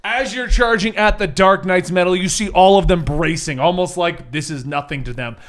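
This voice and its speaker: A man narrating as game master of a casual tabletop game, in an animated, jokey storyteller's manner. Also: high voice, moderate pace.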